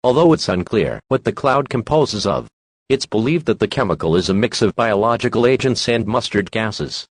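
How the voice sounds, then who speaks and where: A man's voice: lowish voice, big pitch swings, normal speed, slightly rough, no echo. A synthetic-sounding male announcer reading a formal, scripted emergency alert.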